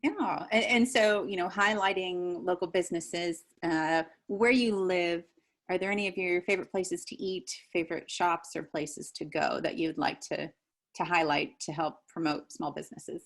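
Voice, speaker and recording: A woman hosting an interview, upbeat and encouraging, with quick replies. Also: fairly low pitch, steady tone, vocal fry. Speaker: interview host. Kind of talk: casual interview.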